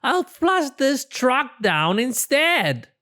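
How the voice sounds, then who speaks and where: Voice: high voice, very animated, extremely slow, bright, forceful tone, pitched above the performer's own voice, full character voice. A storybook reader voicing a cheerful, silly, overconfident male porcupine with a breezy, theatrical manner. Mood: confident, upbeat. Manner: breezy, theatrical, self-assured delivery.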